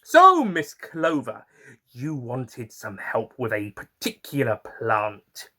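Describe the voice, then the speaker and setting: A man's voice: mid-range voice, huge pitch swings, slow, pushed and bright, breathy edge, heavily acted. A man playing an eccentric, chatty time-traveller who narrates his own adventures in an audio story with theatrical, fond asides. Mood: cheerful, polite.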